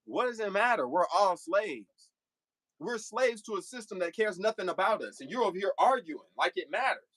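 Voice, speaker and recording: A man's voice: high voice, animated, normal speed, fairly bright tone, no echo, poor audio. A man giving an impassioned, preachy livestream monologue to his viewers, full of rhetorical questions and repeated phrases. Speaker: streamer addressing live chat.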